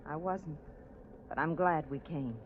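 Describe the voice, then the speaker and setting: A woman's voice: deep voice, unhurried, a little hiss or hum. An actress playing an emotional, apologetic woman passenger in an old-time radio drama. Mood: contrite. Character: contrite, tender-hearted female airline passenger. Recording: muffled old radio with crowd behind.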